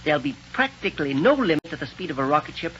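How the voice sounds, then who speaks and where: An actor playing an amiable older inventor in a vintage radio serial, stammering in an avuncular, fussy way. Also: male voice, a little high, sing-song, very fast, fairly bright tone. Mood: earnest, proud. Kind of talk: 1940s radio serial acting. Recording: muffled, hissy vintage radio recording.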